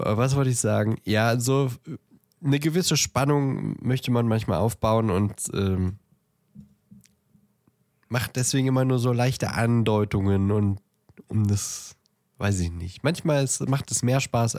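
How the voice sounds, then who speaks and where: A man's voice: medium pitch, measured pace, a little mellow, no room sound, studio quality. A man with a head cold, chatting casually as a podcast co-host and often searching for words. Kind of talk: casual banter. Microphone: close podcast microphone.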